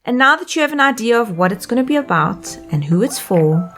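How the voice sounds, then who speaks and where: A South African woman in her thirties, a life coach hosting her own podcast, reading a scripted monologue in an upbeat, expressive way. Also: on the high side, fairly quick, over music.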